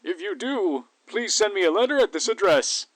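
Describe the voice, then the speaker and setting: A man voicing a creepily inviting costumed bear who hosts a kids' show, speaking slowly and drawing out words to children. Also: sits high, expressive, fairly bright tone, dry recording, voice pushed up, low-quality recording. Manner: slow, drawn-out, addressing children.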